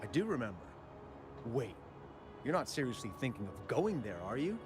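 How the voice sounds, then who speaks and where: Jumpy young miner guy, a man voicing him, lively voice, game music behind. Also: mid-range voice, fairly quick, natural voice. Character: nervous young male miner, chatty witness. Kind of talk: game voice acting.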